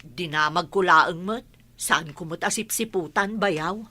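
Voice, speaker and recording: A woman's voice: lowish voice, measured pace, noisy background, natural voice. A woman playing a nosy, defensive gossip in an audio drama, with a Filipino accent. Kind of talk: Ilocano audio drama acting.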